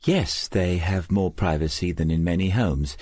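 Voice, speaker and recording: A man's voice: low-pitched, unhurried, darkish tone, no room sound. Man voicing a formal, guarded care home manageress. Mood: courteous, matter-of-fact.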